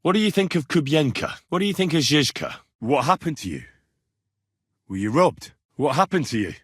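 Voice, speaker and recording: A man acting a young, plain-spoken medieval retainer in a video game, earnest and curious, with an expressive, conversational delivery. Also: fairly high pitch, moderate pace, slightly rough, dry recording, studio quality, heavily acted. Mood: curious, probing. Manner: conversational, expressive, questioning, folksy turns of phrase.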